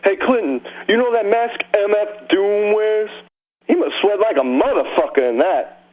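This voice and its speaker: A man's voice: high-pitched, normal speed, darkish tone, poor audio. A man playing a foul-mouthed oddball who rambles through repetitive questions as a caller on a comic game radio show. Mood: nosy, fixated.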